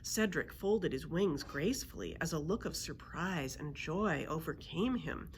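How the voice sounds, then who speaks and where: A woman reads a children's story aloud as a librarian would. Her voice sits a little low and moves with lively, expressive intonation. Faint noise sits behind her. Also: measured pace.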